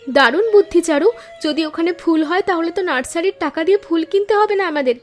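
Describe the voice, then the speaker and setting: Cartoon big sister, female actor: high-pitched, quick delivery, caring, sensible, reassuring. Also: expressive. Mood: delighted. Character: patient, caring elder sister, poor flower seller. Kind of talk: Bengali cartoon moral-story dialogue.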